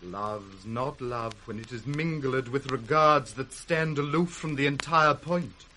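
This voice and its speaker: A man's voice: medium pitch, a little slow, bright, forceful tone, slight background noise. An actor playing a noble, ardent young-hearted king in a 1960s classical radio drama, lofty and earnest. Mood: ardent, tender. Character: noble, ardent king of France, honourable suitor.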